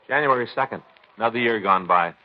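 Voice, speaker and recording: An American actor playing a chatty, stubborn police detective's partner in a 1950s radio drama, with a folksy, insistent way of talking. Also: male voice, mid-range voice, quick pace, close to an everyday voice. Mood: insistent, needling. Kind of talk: 1950s radio crime drama acting, conversational. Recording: dull old radio recording.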